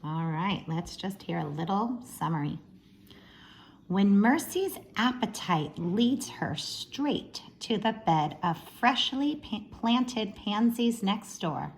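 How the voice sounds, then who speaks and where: A woman reading a storybook aloud to young children like a teacher, expressive, with friendly asides to her listeners. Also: medium pitch, low-quality recording.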